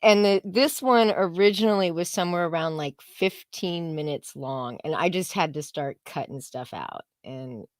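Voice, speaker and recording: Woman, co-host, slightly low voice, casual chat, dry recording. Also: expressive, normal speed, hardly any pauses, dry recording. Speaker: show co-host and editor.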